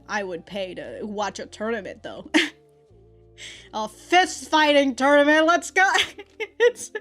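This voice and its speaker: A woman's voice: on the high side, animated, slow, pauses often, a bit bright, soft background music. A woman giving casual, rambling reaction commentary as a host, with a giggly, slangy way of talking and some swearing. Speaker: anime reaction host and reviewer.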